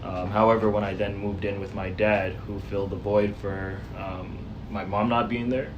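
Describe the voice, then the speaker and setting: A man speaking as a guest in a casual discussion, thoughtful and hesitant, often pausing with "um". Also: lowish voice, level tone, highs cut off, noisy background.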